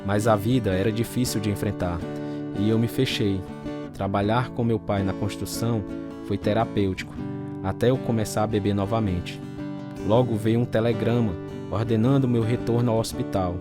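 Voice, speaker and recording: A male actor plays a bitter, troubled drinker recounting his downfall in a low voice with a Brazilian accent. The delivery is grave and dramatic, in the style of a radio testimony drama. Prominent acoustic guitar music plays behind him. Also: fairly even intonation, normal speed, natural voice. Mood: grave, reflective. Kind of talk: dramatized first-person radio narration.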